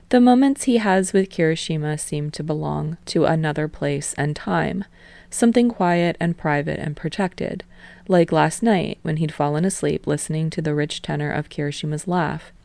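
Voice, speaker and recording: A woman narrates fan fiction as an audiobook, in a steady, storytelling manner. Her voice sits low with a gravelly, fry-touched texture. The recording is close and dry. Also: moderate pace, no room sound. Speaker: podfic reader.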